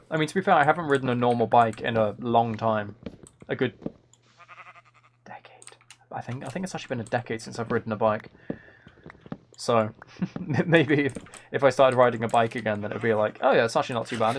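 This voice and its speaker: A man's voice: mid-range voice, brisk, long pauses. Man chatting casually over noisy keyboard clicks. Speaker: livestream host. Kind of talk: casual gaming livestream chat. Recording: clear background noise, keyboard clicks.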